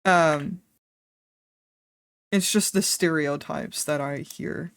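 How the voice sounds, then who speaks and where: A woman's voice: low-pitched, slow pace, creaky, dry recording. Laughing Dutch-accented woman streamer. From Netherlands. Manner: candid and laughing, swears casually. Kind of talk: casual stream chat while drawing.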